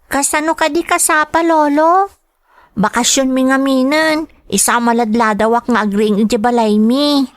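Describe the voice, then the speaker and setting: A woman's voice: high voice, normal speed, no echo, clean studio sound, lightly acted. A woman playing a dutiful young granddaughter in a radio drama, respectful and youthful, with an Ilocano accent. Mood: reluctant, polite. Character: dutiful young granddaughter, obedient but a little reluctant. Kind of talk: Filipino radio drama acting.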